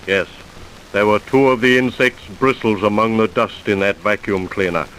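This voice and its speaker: A man's voice: fairly low pitch, normal speed, fairly bright tone, lightly acted, low-quality recording. A man playing a methodical scientist professor in an old radio drama, humming and mumbling "mm" and "uh" as he explains. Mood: explaining. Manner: mumbling "mm" and "uh", wry asides. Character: older male scientist professor, methodical and dry. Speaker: forensic professor. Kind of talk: 1940s radio drama acting. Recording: hissy old radio recording.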